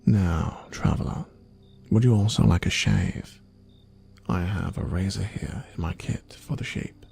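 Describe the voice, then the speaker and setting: A man voicing a kindly, fatherly wolf farmer in a soft-spoken, whispered ASMR roleplay, soothing and unhurried as he murmurs. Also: low-pitched, gravelly, faint music behind. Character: kindly dad-bod wolf farmer, gentle, fatherly caretaker. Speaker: ASMR performer.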